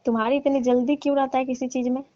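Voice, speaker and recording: A woman's voice: sits high, level tone, fast, warm tone, no room sound, close to an everyday voice. A woman playing a teasing, mock-annoyed middle-aged auntie chatting casually and coyly on a phone call. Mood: mock scolding. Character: scolding, coy neighbourhood auntie. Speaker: caller in a staged comic phone call. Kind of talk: casual flirtatious phone chat. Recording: dull lo-fi phone-call audio.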